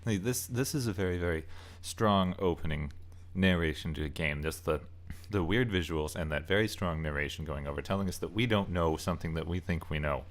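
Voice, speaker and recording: Really low voiced guy doing a casual video essay, rambly and opinionated. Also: fairly quick. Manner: rambling, opinionated, stresses key words. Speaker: video essayist and game reviewer. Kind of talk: casual loosely scripted commentary.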